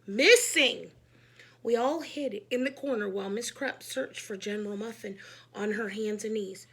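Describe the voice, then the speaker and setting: A woman reading a children's book aloud, narrating expressively in an animated, emphatic way. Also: medium pitch, moderate pace, husky. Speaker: read-aloud storyteller.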